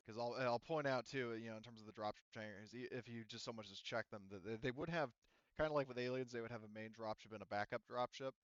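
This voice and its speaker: Clear-voiced man running a tabletop game. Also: mid-range voice, no echo, dull audio. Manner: halting, thinking aloud. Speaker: game master. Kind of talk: casual tabletop game narration.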